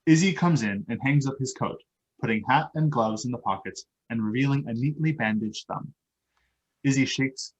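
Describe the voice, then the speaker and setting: Husky man reading stage directions. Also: medium pitch, normal speed, lo-fi sound. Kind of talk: scripted narration of play.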